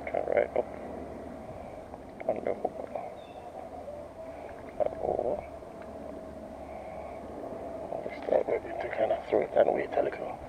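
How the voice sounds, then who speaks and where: A man from Jamaica casually narrating his fishing trip from a rocky shore, talking to the viewers in a relaxed, muttered way. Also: measured pace, pauses often. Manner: relaxed running commentary, often muttered. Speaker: fishing vlogger. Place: rocky shore by a bridge, outdoors. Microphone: head-mounted action camera. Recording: lo-fi action-camera audio with outdoor noise.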